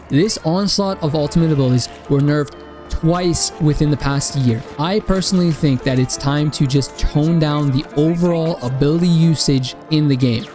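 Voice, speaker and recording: A man's voice: a little high, warm tone. A man giving a casual, opinionated game review straight to his viewers while he is losing his voice. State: losing his voice, dry mouth. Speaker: gaming content creator. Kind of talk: spoken game review and feedback.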